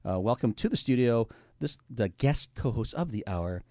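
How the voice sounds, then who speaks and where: A man's voice: medium pitch, lively intonation, normal speed, dry recording. A young adult man hosting a casual radio talk show from a studio, rambling with lots of fillers while reading plugs.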